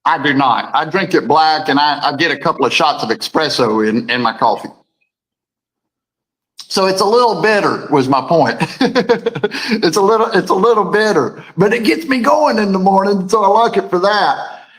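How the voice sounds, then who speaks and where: Male co-host, deep voice, rambling conversational delivery, reads comments aloud. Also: animated, moderate pace, fairly bright tone. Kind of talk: casual morning show chat.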